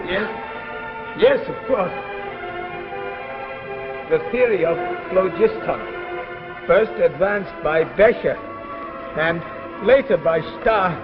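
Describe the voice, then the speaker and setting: A dull, vintage radio drama recording with music in the background. a worn-out professor with a notably high voice. He is learned, hesitant and submissive. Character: weary, submissive older male professor and father. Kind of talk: 1950s radio drama acting. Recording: muffled old radio recording with music behind.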